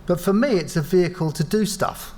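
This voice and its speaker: A man from an engineering firm speaking on a panel at a live event, measured and reflective, modest about his own part in the work. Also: sits high, warm tone. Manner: measured, reflective, self-deprecating. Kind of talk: panel talk. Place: event space with live audience.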